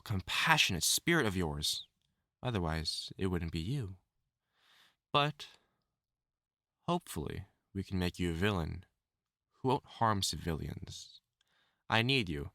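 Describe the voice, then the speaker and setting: Obsessive male supervillain in love, played by a male actor, intimate audio drama: crisp forceful tone on the low side, theatrical, desperate, menacing. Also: no echo, clean studio sound, exaggerated voice. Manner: pleading, stammering, theatrical endearments. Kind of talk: dramatic audio roleplay, intimate monologue to listener.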